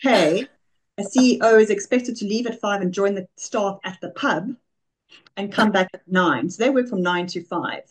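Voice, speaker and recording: A middle-aged South African woman answering interview questions, briskly listing examples. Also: medium pitch, rough recording. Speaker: guest, offshoring business founder. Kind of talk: conversational interview.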